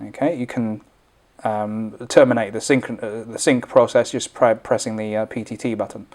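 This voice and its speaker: A man's voice: on the low side, fairly even intonation, normal speed, darkish tone. A man presenting an informal, unscripted product tutorial, explaining things step by step as he demonstrates.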